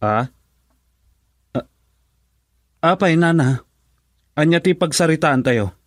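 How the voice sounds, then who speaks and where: A man playing an uneasy, evasive, irritable husband in a radio drama, with a hesitant, halting delivery. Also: on the high side, animated, no echo, clean studio sound. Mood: nervous, flustered.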